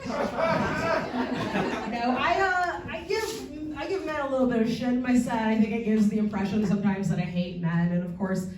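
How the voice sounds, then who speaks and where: A woman, delivering bawdy punchlines deadpan between chatty asides. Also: a little high, normal speed, roomy sound, distant mic, crowd noise. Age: 40s. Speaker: stand-up comedian. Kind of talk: stand-up comedy set. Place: comedy theatre stage before a live audience.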